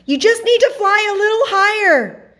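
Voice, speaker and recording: A woman voicing a lonely, hopeful female Moon in a storybook, eagerly calling out to visitors with drawn-out, sing-song exclamations. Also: sits high, expressive, pitched above the performer's own voice. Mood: encouraging.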